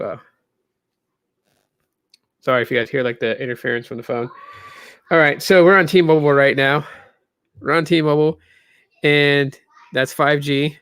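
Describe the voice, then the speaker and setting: A man's voice: a little high, creaky, fairly bright tone, smooth, dry recording. A tired man in his late thirties chatting loosely with lots of fillers as he hosts a live tech stream from a room in his new home. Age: 30s. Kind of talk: casual live stream chat.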